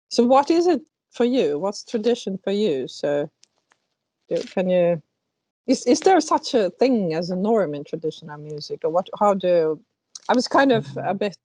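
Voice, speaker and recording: A woman's voice: lowish voice, dark tone, no room sound. A woman interviewing musicians, hesitant and searching for words, with many uhs and restarts, speaking English as a second language. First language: Estonian. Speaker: interviewer host. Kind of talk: interview conversation.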